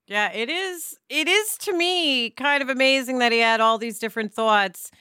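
A woman's voice: high voice, moderate pace, sharp tone, clear, resonant tone, no echo, studio quality. A woman talking casually as a podcast panelist, with a candid, informal and emphatic manner. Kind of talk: casual conversational discussion.

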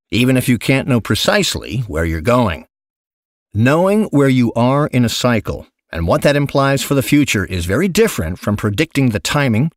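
Guy reading an essay like an audiobook, really animated pitch, super dry close sound, articulate. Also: no echo. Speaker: narrator reading an investment memo.

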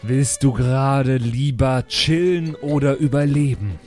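A man improvising casually as a player in a pen-and-paper role-playing show, joking in an animated way. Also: medium pitch, a little slow, soft background music. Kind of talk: improvised casual table talk in character.

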